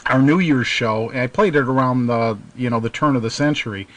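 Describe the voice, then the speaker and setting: A middle-aged American man hosting a radio show, reminiscing casually in a halting, rambling way. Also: medium pitch, fairly bright tone, low-quality recording.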